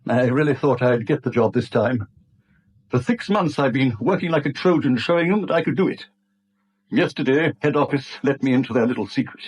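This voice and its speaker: An actor playing a bitter middle-aged British bank manager in a radio drama, brusque, indignant and clipped, on a dull vintage recording. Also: male voice, medium pitch, fairly quick, husky, lightly acted. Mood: bitter, aggrieved. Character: bitter, prickly long-serving male acting bank manager, older. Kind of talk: 1970s radio drama acting.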